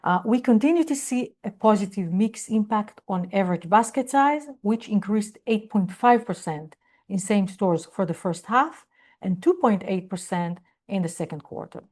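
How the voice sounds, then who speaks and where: A woman company executive presenting on an earnings call in a formal, measured business manner. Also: mid-range voice, lively intonation, darkish tone.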